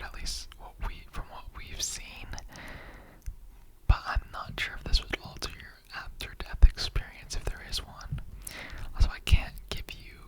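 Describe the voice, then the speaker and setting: A performer voicing a chatty, earnest immortal skeleton interrogator in a whispered ASMR roleplay, rambling conversationally with halting asides. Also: close to an everyday voice, low-quality recording. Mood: persuasive, matter-of-fact.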